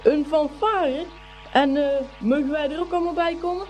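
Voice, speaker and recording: Actor as an excitable, very high-voiced schoolboy. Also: moderate pace, faint music behind, natural voice, rough recording. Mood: excited. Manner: eager, boisterous, exclaiming. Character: Kees, exuberant young schoolboy, good-hearted rascal. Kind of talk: Dutch radio play acting.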